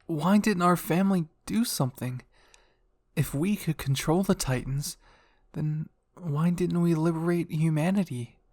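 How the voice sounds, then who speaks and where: A man voicing a frightened but defiant young royal woman, halting and emotional, in dramatic fan fiction roleplay. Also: on the high side, darkish tone, dry recording, clean studio sound, pitched above the performer's own voice. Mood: anxious, questioning.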